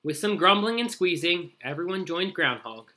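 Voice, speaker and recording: Bright, animated man reading a storybook. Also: sits high, normal speed. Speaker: story reader. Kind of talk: expressive children's read-aloud narration.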